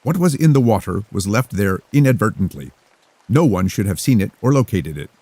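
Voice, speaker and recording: A man voicing a calm, mysterious young woman who speaks formally and rhythmically. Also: lowish voice, slightly rough, dry recording, exaggerated voice. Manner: formal, rhythmic, unhurried delivery.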